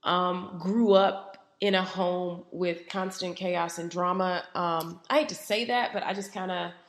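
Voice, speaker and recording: A woman hosting a solo podcast, talking reflectively while a bit raspy from a head cold, with lots of "um" fillers. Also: fairly low pitch, steady tone, a little slow, roomy sound, a little hiss or hum. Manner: conversational, frequent "um" fillers. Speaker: podcast host.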